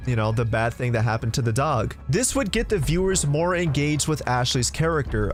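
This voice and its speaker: A man with a slightly high voice hosts an opinionated video game list. He talks straight to viewers in a conversational, emphatic way. Music plays behind him. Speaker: video creator and host. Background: background music.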